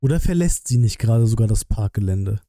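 A man's voice: on the low side, lively intonation, a little fast, warm tone, no room sound. A man, giving casual live-stream commentary in a colloquial, musing way, close on the mic. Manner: musing, colloquial, thinking aloud. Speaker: streamer reacting to a game trailer.

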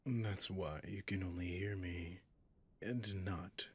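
A man playing a possessive, mocking male demon in an ASMR roleplay, seductive and sinister, with a breathy, often whispering, teasing drawl. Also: low-pitched, fairly even intonation, slow pace, heavily acted. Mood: coaxing.

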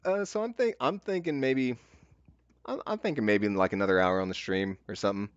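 A man's voice: medium pitch, brisk, muffled recording. A male livestream host thinking aloud hesitantly about his stream. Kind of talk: casual stream aside.

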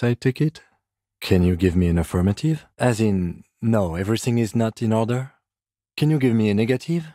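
An actor playing a composed, dry-witted police lieutenant in a video game, measured and formal, with a husky voice. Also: male voice, low voice, dark tone, no room sound. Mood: questioning, concerned. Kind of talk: scripted game dialogue.

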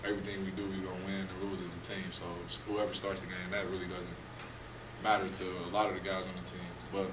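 Young player, low flat voice, echoey. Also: male voice, fast. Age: young adult. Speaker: college basketball player. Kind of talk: postgame press conference answers. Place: press room at a table before a team backdrop. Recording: echoey room, distant mic, noisy.

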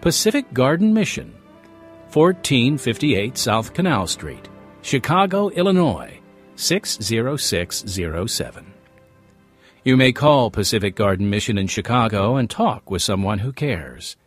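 A man announcing a scripted radio program as its host, with measured, deliberate enunciation. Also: mid-range voice, sing-song, faint music behind.

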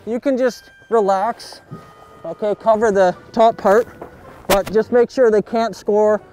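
A young man outdoors giving a jokey sports tutorial, coaching in a mock-serious, deadpan way full of hockey slang. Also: high voice, unhurried, low-quality recording. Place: backyard, outdoors. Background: music.

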